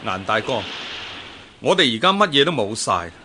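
A man's voice: mid-range voice, sing-song, normal speed, background noise, lightly acted. Earnest fugitive sea merchant, acted by a man. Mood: weary, earnest. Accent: Hong Kong Cantonese. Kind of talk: radio drama acting.

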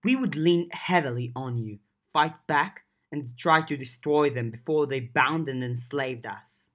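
A narrator plays a mysterious, composed female spirit in an audiobook. She speaks formally and at length, in measured phrases, advising her young allies. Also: mid-range voice, a bit bright. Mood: measured warning.

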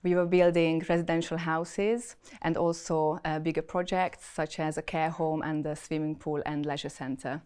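Low smooth voice of a woman from Hungary. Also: level tone, a little mellow. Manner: careful, with frequent uh and um pauses. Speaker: property deal presenter. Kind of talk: pitching a deal to investors.

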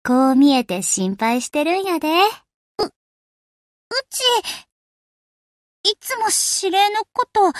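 A very high, girlish anime warship girl with a strong swooping range. She speaks with a Kansai accent, folksy and cheerful, like someone you can depend on. The delivery is easygoing and made for game voice lines. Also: big pitch swings, takes it slowly, pauses often, dry recording, clean studio sound, heavily acted. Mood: softer, concerned. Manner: folksy, casual, perky. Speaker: voice actor of a game character.

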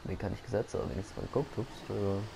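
A man chats loosely over his gameplay, rambling and joking to himself. His voice is low with a gravelly fry. Steady noise runs under the recording. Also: racing. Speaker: gaming YouTuber. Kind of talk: casual let's-play commentary.

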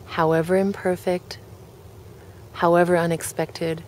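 A woman leading a guided relaxation, speaking gently in measured phrases with pauses between them. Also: fairly low pitch, level tone, a little hiss or hum. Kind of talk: guided relaxation, meditation narration.

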